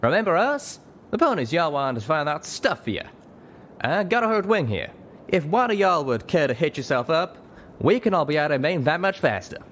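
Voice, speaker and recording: A man's voice: on the high side, big pitch swings, normal speed, fairly bright tone, a little hiss or hum, voice pushed up. A man voicing a folksy, cocky young male pegasus cowboy with a drawl in an audiobook reading. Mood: folksy teasing.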